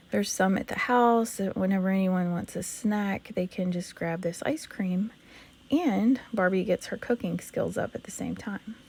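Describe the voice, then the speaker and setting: Hoarse woman, let's play host, gravelly, mellow tone, patient step-by-step gameplay narration. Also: mid-range voice, a little hiss or hum.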